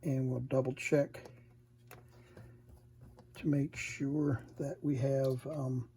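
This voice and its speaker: A man giving a casual hands-on repair demonstration, unhurried and murmuring to himself as he works. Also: medium pitch, little pitch movement, warm tone. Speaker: vintage radio repair hobbyist, presenter. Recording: clear background noise, room ambience.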